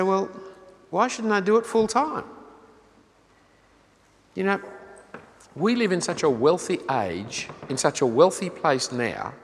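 An older man preaching an earnest sermon, pressing his listeners with rhetorical questions. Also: on the high side. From Australia. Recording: long hall reverb.

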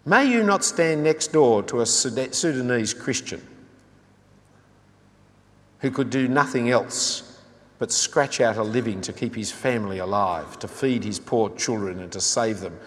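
A man's voice: mid-range voice, lively intonation, a little slow. An older man preaching an earnest sermon, pressing his listeners with rhetorical questions. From Australia. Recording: long hall reverb.

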